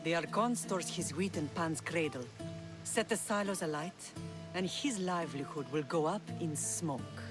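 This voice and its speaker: A woman's voice: lowish voice, normal speed, over music, lightly acted. A woman playing a fierce, unforgiving middle-aged warrior mother in a video game, firm and resolute. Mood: steely. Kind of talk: dramatic video game acting.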